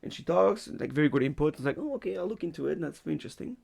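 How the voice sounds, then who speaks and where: Young man, gravelly voice, slightly high, lecturer chatting, acts out stories. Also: rapid-fire. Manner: animated storytelling, acts out his students and himself; frequent fillers and restarts. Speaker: university lecturer, podcast co-host. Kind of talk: casual chat.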